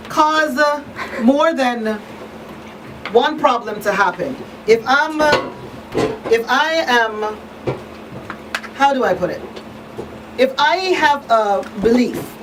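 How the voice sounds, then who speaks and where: A woman hosting an earnest conversational discussion, halting and repeating words as she poses rhetorical questions. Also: on the high side. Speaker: talk show host and crisis counselor. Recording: distant mic, clear background noise.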